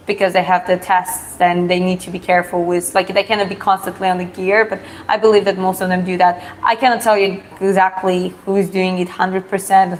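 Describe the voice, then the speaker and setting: Woman chatting casually. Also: mid-range voice. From Georgia. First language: Russian. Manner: hesitant phrasing, reads out viewer questions then answers frankly. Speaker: live stream host. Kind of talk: casual live Q&A chat.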